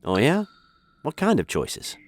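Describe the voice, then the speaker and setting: A man's voice: mid-range voice, wildly swooping pitch, measured pace, slight background noise. A man with an American accent playing a grumpy, hapless prisoner in a comic radio sitcom, sardonic and exasperated.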